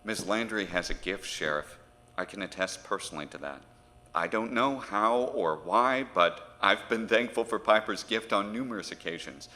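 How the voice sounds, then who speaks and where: Male actor as federal agent, low forceful voice, formal, earnest, persuasive, horror audio drama, long hall-like reverb. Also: normal speed. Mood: diplomatic, insistent. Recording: very reverberant, with noise behind.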